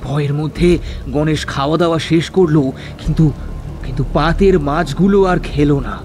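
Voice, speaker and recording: Male storyteller for village horror cartoon: high-set voice, dramatic narration over clear music. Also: normal speed.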